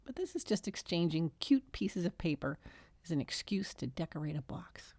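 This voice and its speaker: A woman's voice: lowish voice, very animated, normal speed, darkish tone, dry recording. A woman voicing a skeptical, matter-of-fact schoolgirl in a storybook reading. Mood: dismissive.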